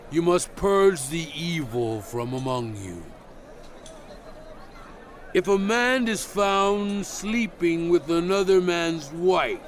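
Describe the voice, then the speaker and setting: A man performs a stern prophet proclaiming ancient law in a dramatized scripture reading. His fairly high-set voice has a gravelly creak, and he speaks very slowly and gravely, weighting each phrase over soft music. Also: heavily acted. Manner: grave, deliberate, emphatic delivery.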